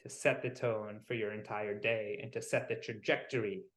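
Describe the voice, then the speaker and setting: A man leading a guided visualization as a wellness coach, soothing and unhurried, pausing between short phrases. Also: on the low side, level tone, a bit bright. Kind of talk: guided meditation. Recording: roomy, echoing sound.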